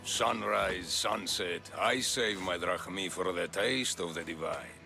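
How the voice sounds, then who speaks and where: An actor playing a sly, rambling townsman in a video game, with a gravelly voice. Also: deep voice, takes it slowly, bright, forceful tone, soft background music, heavily acted. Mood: sly, wistful.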